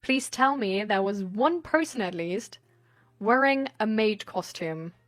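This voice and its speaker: A woman's voice: medium pitch, lively intonation, unhurried. A woman live streamer chatting casually with her viewers in a rambling, giggly way with hesitant phrasing. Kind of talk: casual chat with viewers, reading out their messages.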